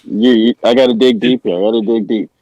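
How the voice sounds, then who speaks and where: A man's voice: medium pitch, fairly even intonation, fast talker, dark tone, no echo. An American man chatting casually on a podcast, rambling and searching for words, in a slightly muffled, dry recording. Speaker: podcast participant. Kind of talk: casual chat.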